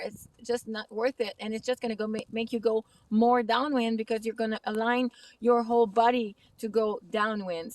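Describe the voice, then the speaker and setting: A woman with a French Canadian accent giving a casual kitesurfing lesson outside on a snowy lake, speaking slightly halting English. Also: a little high, far from the mic, slight background noise. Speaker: kitesurfing instructor. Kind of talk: outdoor tutorial vlog. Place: snowy lake outdoors in winter.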